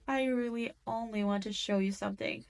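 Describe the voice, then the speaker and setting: A woman's voice: mid-range voice, steady tone, brisk, creaky, natural voice. A woman playing a cruel, gloating demon queen in a scripted audio roleplay, condescending and taunting. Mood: teasing, condescending.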